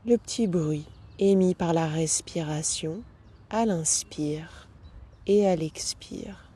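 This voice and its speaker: A woman leads a guided relaxation at a very slow pace, leaving space between her gentle phrases. Her voice is mellow and rather low, calm and soothing as she gives instructions like a yoga teacher. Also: slightly rough. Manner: calm, unhurried, gentle phrasing with long pauses.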